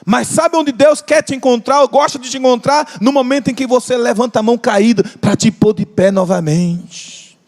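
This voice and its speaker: Man, Brazilian Portuguese accent, fervent preacher, drawn-out emphasis, reverberant church hall. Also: very high voice. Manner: impassioned, drawn-out emphatic phrases, jokes with the congregation. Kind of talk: sermon.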